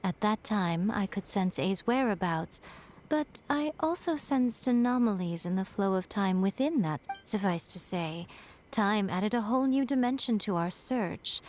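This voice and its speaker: A woman's voice: medium pitch, animated, a little slow, a little mellow, slight background noise, lightly acted. A woman playing a wise, teasing fox-spirit shrine maiden in an anime game, poised and playful in her delivery. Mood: composed. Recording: music behind the voice.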